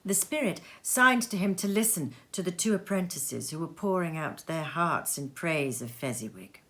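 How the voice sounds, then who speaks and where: A woman reading a classic story aloud as expressive narration, with dramatic emphasis and deliberate pauses. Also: fairly low pitch. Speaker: storybook reader. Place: sitting room.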